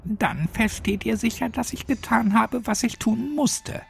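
Man voicing a raised-pitch, menacing demonic girl. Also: measured pace, vocal fry, breathy edge, full character voice. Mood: feigned sorrow. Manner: sly, persuasive, coldly taunting.

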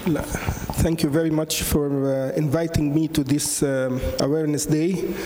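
Man, Egyptian accent, middle-aged, handheld mic, hesitant fillers, mellow tone, long hall reverb. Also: fairly high pitch, little pitch movement, measured pace, slight background noise. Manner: hesitant, many fillers and restarts. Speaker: panelist doctor. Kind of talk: conference panel answer. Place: large conference hall on stage.

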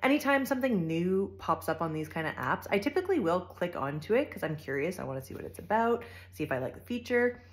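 Lower-pitched woman, casual vlogger. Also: very animated, quick pace, few pauses. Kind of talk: casual chat to camera. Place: furnished living room at home. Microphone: phone at arm's length.

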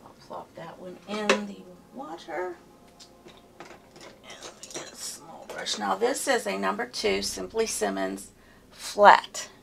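A soft-spoken woman with a Pittsburgh accent, teaching a step-by-step painting tutorial in her home studio and talking unhurriedly as she works. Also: mid-range voice, animated, vocal fry, noisy background. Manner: unhurried, murmurs to herself while painting. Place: home art studio, indoors. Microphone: camera mic from behind, far off. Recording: distant camera mic with faint music.

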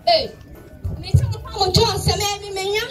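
A woman's voice: very high voice, moderate pace. A woman playing a bossy, pestering character in a live outdoor stage drama. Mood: insistent. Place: open courtyard, outdoors. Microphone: handheld PA mic, filmed from afar. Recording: distant outdoor PA, music and crowd.

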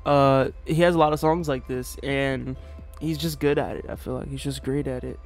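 A man's voice: medium pitch, steady tone, moderate pace, faint music behind. A young man casually reacting to a rap song from his small bedroom, slangy and laid-back, swearing often. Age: young adult. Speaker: music reaction youtuber. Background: hip hop track playing.

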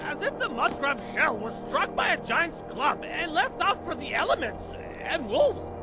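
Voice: high-pitched, big pitch swings, loud music behind the voice, over a noisy crowd. An actor voicing a talkative, absent-minded rat creature in a fantasy game, rambling through tall tales and counting as it goes. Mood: rambling, musing. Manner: rambling storyteller, pausing to count numbers. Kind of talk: scripted comic fantasy game storytelling.

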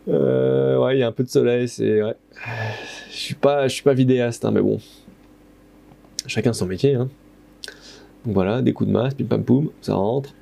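A man's voice: mid-range voice, steady tone, normal speed, vocal fry, long pauses, mellow. A man in his thirties, a plasterer, narrating his stone-wall renovation casually, with many fillers and self-corrections, recorded on a head-mounted camera in a stone room. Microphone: head-mounted action camera.

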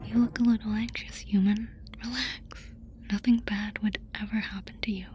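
A woman voicing a playful, affectionate alien abductor in a soft, casual, coaxing whisper for an ASMR roleplay. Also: medium pitch, steady tone, normal speed, lightly acted. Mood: soothing, affectionate. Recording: noisy ambience behind close whisper.

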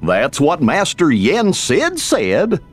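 A man playing a gentle, earnest cartoon dog companion in a video game, with a goofy husky drawl. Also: fairly high pitch, wildly swooping pitch, slow, faint music behind, heavily acted. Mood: gentle concern.

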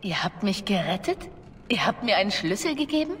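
A woman's voice: mid-range voice, sing-song, brisk, a bit bright, a little hiss or hum. A woman voicing a wary, wronged young bard in a video game, with guarded, questioning delivery. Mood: puzzled, impatient. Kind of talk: dramatic video game voice acting. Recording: long reverb, music behind.

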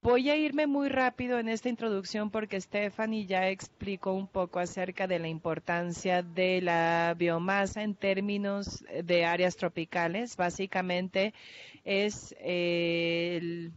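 Mujer con acento brasileño, habla pausada. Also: female voice, on the low side, steady tone. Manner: careful, hesitant, with restarts and fillers. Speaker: researcher presenting at a workshop. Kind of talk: conference talk. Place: large conference hall. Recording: dull lo-fi hall recording.

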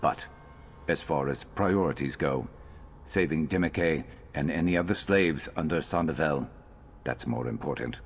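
An actor playing a gruff, vengeful lizard-man companion in a video game, wry but earnest, speaking in a measured, brooding way. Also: very deep voice, slight background noise. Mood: grim, resolute. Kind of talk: video game character dialogue.

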